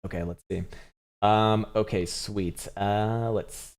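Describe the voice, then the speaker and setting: A man hosting a live crypto trading stream, talking loosely and profanely with halting restarts as he reads viewer chat, his voice getting strained and hoarse. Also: fairly low pitch, steady tone. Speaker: crypto trading livestream host. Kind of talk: casual live-stream chart commentary.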